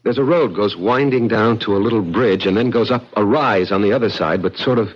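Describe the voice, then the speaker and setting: Low-voiced, dramatic American traveller. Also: male voice, a little fast, breathy edge. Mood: polite, insistent. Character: determined American traveller seeking his ancestral castle. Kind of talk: old-time radio drama acting and first-person narration. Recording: dull lo-fi radio drama with music.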